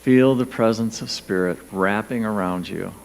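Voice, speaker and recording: Low, gravelly man guiding a meditation. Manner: soothing, gentle, spaced short phrases with pauses. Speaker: meditation guide. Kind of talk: guided meditation. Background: background music.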